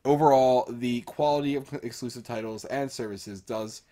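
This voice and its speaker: A man's voice: medium pitch, normal speed, mellow. A man co-hosting a casual gaming podcast, talking in a hedging, repetitive way as he argues his points. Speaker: podcast co-host.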